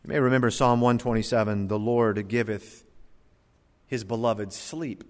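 A middle-aged male pastor preaching a sermon in a church, moving between loud emphatic bursts and quiet, low asides. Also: fairly even intonation, normal speed. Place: church sanctuary. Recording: church hall reverb.

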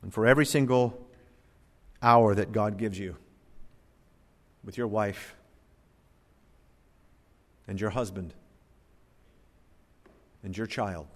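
A middle-aged male pastor preaching a sermon in a church, moving between loud emphatic bursts and quiet, low asides. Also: slow, pauses often. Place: church sanctuary. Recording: church hall reverb.

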